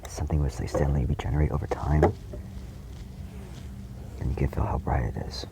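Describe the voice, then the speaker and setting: A man giving a slow, earnest monologue, solemn and halting, repeating his phrases in a breathy, gravelly voice. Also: very deep voice, fairly even intonation, very noisy.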